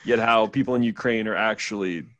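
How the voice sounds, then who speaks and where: A man hosting a podcast in a relaxed, loose style, steering the talk with fillers. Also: on the low side, fairly quick, no room sound. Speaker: podcast host. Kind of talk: casual podcast chat.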